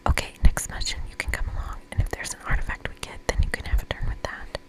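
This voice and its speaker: A woman's voice: fast talker, close to an everyday voice. A woman voicing a confident young witch from a summer camp game in a whisper, firm, dry and sassy. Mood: dry, dismissive.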